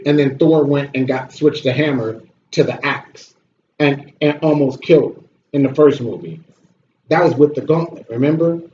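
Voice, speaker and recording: A man's voice: on the high side, normal speed, a little mellow. Blunt man reviewing in an echoey room. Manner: blunt, complaining, sweary. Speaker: video host and movie reviewer. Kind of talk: casual opinionated movie review chat. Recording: roomy echo, dull audio, slight noise.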